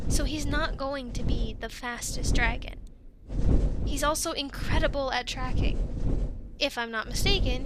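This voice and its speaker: Woman acting a high-voiced, encouraging dragon-riding instructor. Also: very noisy. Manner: reassuring, coaching, gently teasing. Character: friendly, encouraging young female Viking dragon-riding instructor. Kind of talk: ASMR roleplay, addressed to the listener. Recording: loud ambient sound effects behind voice. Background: loud ambience sound effects.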